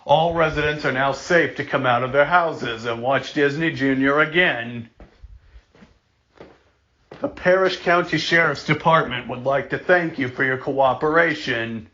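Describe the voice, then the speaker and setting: A man reads a scripted mock emergency broadcast as the announcer, solemn, deliberate and emphatic, sometimes stumbling and repeating words. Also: medium pitch, sharp tone. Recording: dull lo-fi recording.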